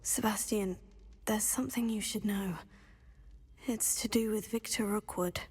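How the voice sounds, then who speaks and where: A woman voicing a gentle, concerned young student in a video game, confiding in a hushed, breathy voice. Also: medium pitch, fairly even intonation, measured pace. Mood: hushed, grave. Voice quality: breathy, whispery. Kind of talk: video game cutscene dialogue. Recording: long reverberant hall.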